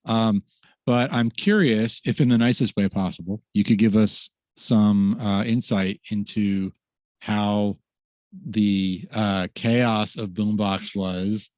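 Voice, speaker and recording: A man's voice: lowish voice, fairly even intonation, takes it slowly, darkish tone, no echo. A man hosting a casual webinar, rambling with many fillers and restarts. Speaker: host.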